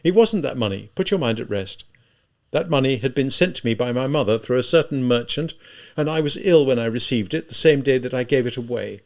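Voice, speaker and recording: A man voicing a tormented, spiteful young murderer in an audiobook, halting and emphatic as he reproaches himself. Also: medium pitch, expressive, voice pushed up, natural voice. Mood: agitated, insistent.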